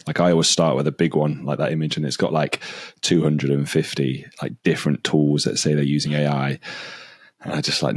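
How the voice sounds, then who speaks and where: A man's voice: rumbling low, dry recording, studio quality. A man hosting a podcast interview, talking in a loose, chatty way, peppered with "like" and repeated phrases. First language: English. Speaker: podcast host. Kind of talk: casual interview chat.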